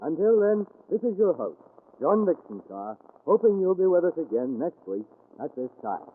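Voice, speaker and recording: An actor playing a poised, formal mystery host, giving theatrical scripted narration in 1940s radio style. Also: male voice, fairly high pitch, big pitch swings, normal speed, a little hiss or hum. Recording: muffled, band-limited old radio recording.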